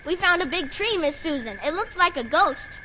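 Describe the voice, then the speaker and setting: A woman's voice: extremely high pitch, animated, normal speed, pushed and bright, a little hiss or hum, heavily acted. A woman voicing a sweet, earnest little boy with a childlike voice in an audio horror drama. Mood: eager, earnest. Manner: simple, earnest child's talk.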